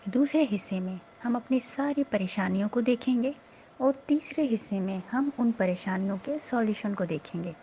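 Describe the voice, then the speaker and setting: A woman with a soft-edged, mellow voice at a medium pitch leads a guided meditation. She gives instructions slowly and soothingly, leaving pauses between phrases. A faint layer of background noise sits behind her. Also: expressive, poor audio. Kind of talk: slow guided meditation narration.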